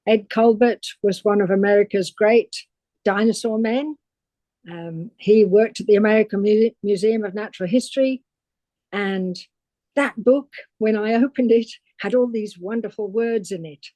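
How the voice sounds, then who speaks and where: An older woman from England, recounting her career as a guest on a podcast interview in a measured storytelling way. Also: medium pitch, a little mellow, dry recording, low-quality recording. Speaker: guest paleontologist.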